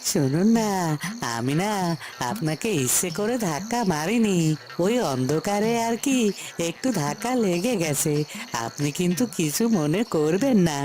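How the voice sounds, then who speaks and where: A woman's voice: on the low side, animated, measured pace, darkish tone. A woman voicing a shy, polite, apologetic young village man in a cartoon, speaking hesitantly. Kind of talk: Bengali moral-story cartoon dubbing. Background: music.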